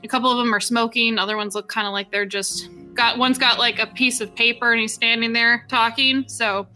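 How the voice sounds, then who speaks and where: Woman GM, bright tone over faint background music, loose chatty narration. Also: fairly high pitch, steady tone, a little fast. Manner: casual, filler-heavy narration with voiced NPC lines. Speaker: game master. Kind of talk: narrating a tabletop game.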